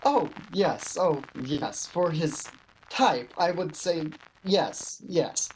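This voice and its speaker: Woman playing a low-voiced, bashful male shop clerk. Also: lively intonation, a little hiss or hum, poor audio. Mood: slyly reassuring. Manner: halting, bashful, understated. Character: awkward, slyly teasing male shop clerk in love. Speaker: amateur actor. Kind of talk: radio play acting.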